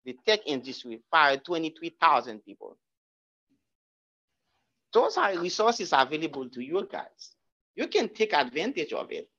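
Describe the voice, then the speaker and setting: A man giving a guest talk, answering audience questions in a lecture room, halting with many restarts and repeated words. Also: on the high side, sing-song, pushed and bright, dull audio. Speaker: guest speaker.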